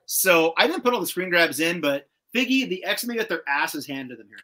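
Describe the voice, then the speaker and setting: A man hosting a casual video-call chat, recapping a cartoon episode in an animated, slangy, sometimes profane way. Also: high voice, fairly quick. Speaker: host. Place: indoor room with shelves of collectibles, on a video call.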